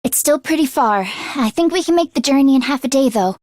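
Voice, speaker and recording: Casting: teenage girl fighter for a video game, very high voice, anxious and earnest, expressive with hesitant stammers, dry studio sound. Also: fairly quick, dry recording. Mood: earnest, focused. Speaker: voice actress.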